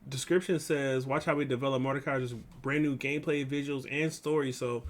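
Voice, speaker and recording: A man's voice: mid-range voice, steady tone, a little fast. A man hosting a reaction video, commenting in a casual, slangy way. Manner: slangy, informal.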